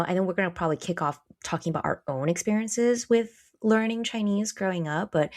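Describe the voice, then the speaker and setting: Chatty low-voiced American woman. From United States. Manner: chatty, hesitant, many fillers. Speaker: podcast co-host, pharmacist. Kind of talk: casual podcast conversation.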